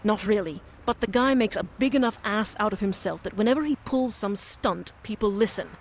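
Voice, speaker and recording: An actress playing a brash, self-centred television reporter in a video game cutscene. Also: female voice, medium pitch, fairly quick, slight background noise, lightly acted. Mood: impatient, scheming.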